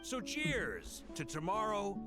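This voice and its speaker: An actor playing a hearty, rugged knight commander in a video game, jovial and philosophical with a rousing, theatrical manner. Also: male voice, sits high, huge pitch swings, slow pace, exaggerated voice. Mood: hopeful. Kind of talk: dramatic video game voice acting. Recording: music behind the voice.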